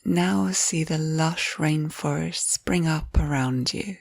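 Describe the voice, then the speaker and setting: A woman narrating a scripted guided visualisation in a soft, soothing, unhurried manner, with breathy phrase endings. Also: sits low, no room sound. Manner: soothing, unhurried, gentle instructions.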